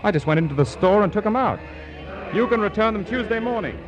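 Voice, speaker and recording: An actor playing a peevish, self-important department store heir in a 1940s radio comedy, pompous and easily indignant. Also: male voice, high-pitched, expressive, fast, muffled recording, music in the background. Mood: playful, charming. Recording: hissy vintage 1940s radio broadcast.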